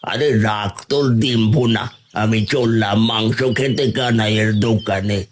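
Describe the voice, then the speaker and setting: A man voicing a picky, dismissive older village uncle in a cartoon, husky and drawling. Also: on the low side, unhurried, slight background noise, natural voice. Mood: grumbling. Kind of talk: cartoon dialogue.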